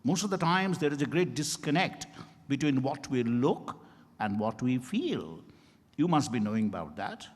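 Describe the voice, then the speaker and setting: An actor playing a courteous, insistent doctor of law in a theatrical stage reading. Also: male voice, medium pitch, very animated, slightly rough. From India. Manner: deliberate, questioning, theatrical. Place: event hall at a hotel. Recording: echoey hall with long reverb.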